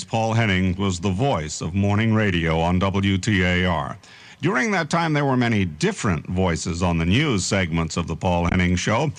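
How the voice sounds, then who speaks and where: A man hosting a radio tribute, reading scripted narration. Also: on the low side. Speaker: radio host.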